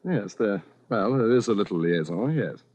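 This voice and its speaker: A man's voice: on the low side, expressive, moderate pace, darkish tone, muffled recording. An actor playing a furtive, evasive married Englishman with delusions of station, speaking formally and hesitantly in 1940s radio suspense style. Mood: flustered, evasive. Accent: British. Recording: vintage radio drama recording, faint music.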